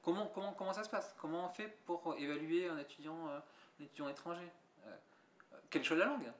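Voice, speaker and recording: A man's voice: on the high side, fast. A young adult man, a visual artist, answering interview questions thoughtfully with many restarts and fillers. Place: museum gallery. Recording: echoey room.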